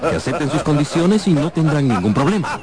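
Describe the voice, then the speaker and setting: An actor playing a nervous, hesitant former opera director in a radio drama, formal and awkward. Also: male voice, fairly high pitch, very animated, a little fast. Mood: uneasy, placating. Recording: loud music and crowd behind.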